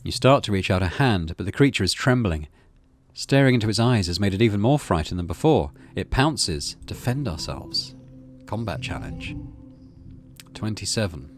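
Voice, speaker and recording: A man's voice: on the low side, animated, moderate pace. A middle-aged man hosting a gaming video, reading story text aloud and adding casual commentary. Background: game music.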